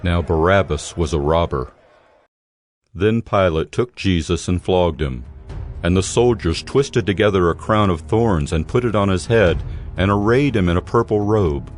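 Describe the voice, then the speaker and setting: Deep guy reading a gospel story, music playing behind. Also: normal speed. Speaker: narrator. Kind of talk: scripted scripture narration.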